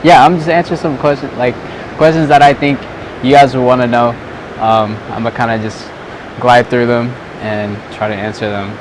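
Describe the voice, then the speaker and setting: A man's voice: medium pitch, fairly even intonation, moderate pace, music in the background, poor audio. A young man from Southern California, a vlogger and photographer, chatting loosely to the camera outdoors at sunset, rambling with lots of "like"s. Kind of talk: casual chat to camera. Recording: outdoor noise with traffic.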